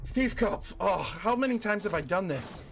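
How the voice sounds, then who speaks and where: A man's voice: high voice, lively intonation, creaky, background noise. A man in his forties live-streaming a game, chatting casually with viewers and laughing often. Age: 40s. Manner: chatty, rambling, quick to laugh. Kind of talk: casual live-stream chat while gaming.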